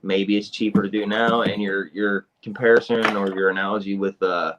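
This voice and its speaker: A man's voice: fairly low pitch, fairly even intonation, moderate pace, a bit bright, highs cut off. A man co-hosting a podcast, talking in a rambling, emphatic way with lots of swearing. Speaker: podcast co-host. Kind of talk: casual podcast chat.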